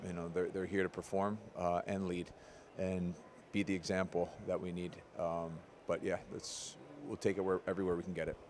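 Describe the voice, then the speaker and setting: Give me a head coach in his forties speaking low and even, answering postgame questions. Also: a little hiss or hum. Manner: measured, analytical, many ums. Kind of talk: postgame press interview. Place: arena corridor, indoors. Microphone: handheld interviewer mic.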